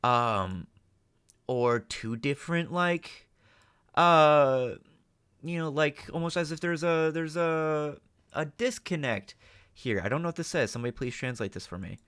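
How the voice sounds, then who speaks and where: A man giving casual reaction commentary, thinking aloud in a halting, tentative, musing way. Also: on the high side, lively intonation, fairly bright tone, smooth, dry recording, studio quality. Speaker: host of a reaction channel.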